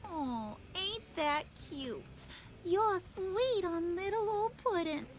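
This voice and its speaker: Volatile young villainess, American accent, slow drawling taunts, wildly swooping intonation, sing-song mock sweetness, video game. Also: female voice, extremely high pitch, lively intonation, heavily acted. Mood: taunting, suspicious.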